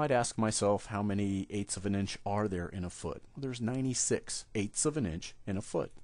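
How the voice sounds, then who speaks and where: A man explaining software steps one at a time in a screen-recorded tutorial, speaking at his computer. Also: fairly low pitch, no room sound. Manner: steady step-by-step explaining. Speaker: software trainer.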